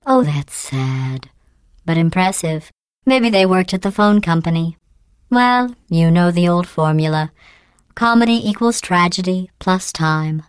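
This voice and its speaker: A coldly sarcastic female AI overseer delivers test announcements in a calm, clipped, deadpan manner with quiet menace. Her voice sits low for a woman and comes across smooth and resonant. The recording is close and dry, like a video game dialogue line. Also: a little slow, no echo. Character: cold sarcastic female AI running test chambers.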